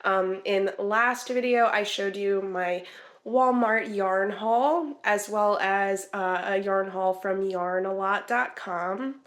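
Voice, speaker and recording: A woman, chatty and relaxed, giving crochet project updates in a vlog; she sounds congested and a little nasal from a sinus infection. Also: medium pitch, slow. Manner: chatty, relaxed, many ums and restarts. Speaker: crochet vlogger. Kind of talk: casual vlog chat.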